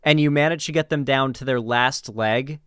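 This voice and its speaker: A man's voice: mid-range voice, moderate pace, a bit bright, clean tone, no echo, clean studio sound. A man with an American accent giving casual, opinionated reaction commentary, speaking emphatically and informally. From Florida, United States. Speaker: political commentary streamer.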